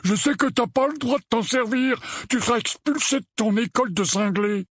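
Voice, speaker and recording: A man voicing a bullying, sulky, dim-witted teenage boy in a storybook, with gruff, defensive grumbling. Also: very high-pitched, normal speed, no room sound, studio quality, voice pushed up. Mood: nervous, defiant.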